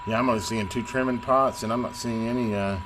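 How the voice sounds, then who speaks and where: A man talks through bench repair work in a folksy, off-the-cuff way. His pitch sits in the middle for a man, and he thinks aloud as he goes. A loud hum and heavy noise run behind his voice. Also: steady tone, fast. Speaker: radio repair technician and video host. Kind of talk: casual hands-on repair demonstration.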